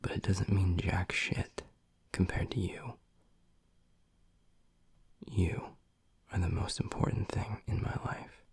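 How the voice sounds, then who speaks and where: A man playing a tender, gruff young werewolf boyfriend in an intimate audio roleplay, speaking in a hushed, husky, earnest way close to the mic. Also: flat delivery, no room sound, whispered, heavily acted. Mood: tender, reassuring. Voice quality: low, gravelly, husky, breathy. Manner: hushed, slow, earnest, halting. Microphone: close, dry microphone.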